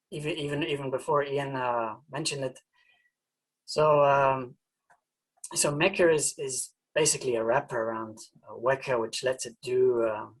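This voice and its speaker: A man from New Zealand, a university professor, gives an informal presentation talk in a halting way, often repeating words as he searches for them. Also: mid-range voice, breathy edge, rough recording.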